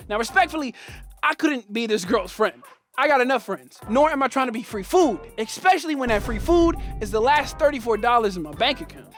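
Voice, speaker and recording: A man's voice: very high-pitched, fairly bright tone. Young man telling a funny story. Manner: playful, slangy, punchy delivery. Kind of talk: comedic personal storytelling.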